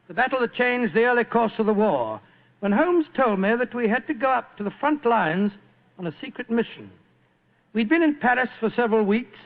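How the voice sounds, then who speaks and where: Elderly British doctor, avuncular radio-drama storyteller, gravelly, on a narrow vintage recording. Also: male voice, extremely high pitch. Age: older. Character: elderly genial British doctor recounting his wartime adventures. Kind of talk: old radio drama acting and narration. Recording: thin band-limited old radio recording.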